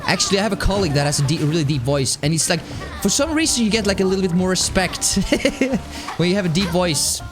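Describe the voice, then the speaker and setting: A man streaming a game, with a light, high-pitched voice, chatting casually and rambling with lots of laughs. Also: fairly quick. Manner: animated, rambling, laughs often. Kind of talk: casual live-stream chat while playing. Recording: music playing underneath. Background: game music.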